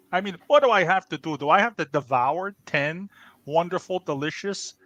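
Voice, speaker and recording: A man's voice: sits high, pushed and bright, no echo. A man playing a blustering, defensive small-time crook and pizzeria owner with a Chicago accent, in improvised comedy banter. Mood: exasperated, indignant. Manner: blustering, defensive, repeats himself, wiseguy phrasing.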